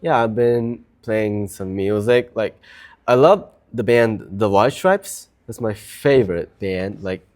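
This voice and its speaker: A man's voice: fairly low pitch, takes it slowly, darkish tone. A man from Japan, a native Japanese speaker with a Japanese accent, chatting casually and enthusiastically as a guest. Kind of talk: casual chat.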